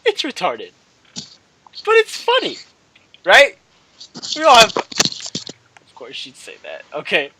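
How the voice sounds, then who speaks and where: A man's voice: high-pitched, huge pitch swings, slow, long pauses, noisy background. A young man hosting a gaming channel, chatting casually in a rambling, hesitant way that often repeats and trails off. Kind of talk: casual rambling video outro.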